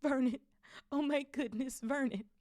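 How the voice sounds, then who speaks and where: A woman's voice: low-pitched, steady tone, normal speed, warm tone, dry recording, studio quality. A woman voicing an anxious, easily frightened middle-aged aunt in a storybook reading, fluttery and quivering. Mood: horrified. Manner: quivering, breathy when afraid.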